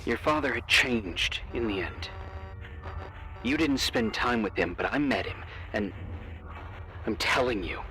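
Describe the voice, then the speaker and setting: A man's voice: fairly low pitch, animated, measured pace, pauses often, sharp tone, soft background music, rough recording. An actor playing a concerned, cautious man in a video game, speaking in an earnest, pleading, insistent way. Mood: grave, insistent. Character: concerned, cautious man urging a woman to safety. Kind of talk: naturalistic video game voice acting.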